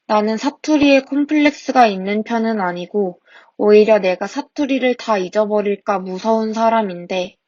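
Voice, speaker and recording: A young woman reads her own essay in a soft, reflective voice at a middle pitch, pausing now and then to fix a word. The recording is close and dry with no room sound, and slightly dull and lo-fi, like a simple home mic. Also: no room sound. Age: 20s. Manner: soft, reflective reading with small self-corrections. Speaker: podcast host reading her essay. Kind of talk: reading a personal essay aloud.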